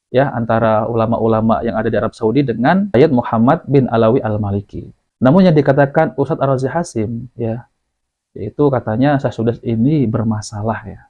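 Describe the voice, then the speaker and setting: A man with an Indonesian accent hosts an informal religious commentary in a soft, dark voice. He circles back over his points and tags his phrases with small fillers. The recording is muffled and low in fidelity. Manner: repetitive, often tags phrases with "ya". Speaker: commentary host. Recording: dull lo-fi audio.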